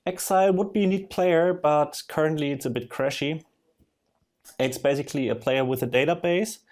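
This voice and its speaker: A man narrating a casual software screen demo in a halting way, thinking aloud as he goes. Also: a little high. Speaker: software demonstrator. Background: music from audio players being demonstrated.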